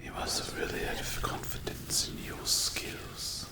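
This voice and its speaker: A man voicing a sinister, predatory demon in a dark fantasy ASMR roleplay, taunting mockingly in breathy whispers. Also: echoey room, close to an everyday voice. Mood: mocking menace. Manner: slow, menacing, mocking, silky taunts.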